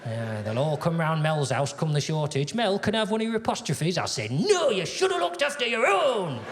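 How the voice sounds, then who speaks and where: A man's voice: a little high, wildly swooping pitch, normal speed. Man acting a grumbling northern English hoarder, noisy live recording. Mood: grumpy, possessive. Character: grumpy northern man jealously hoarding his things.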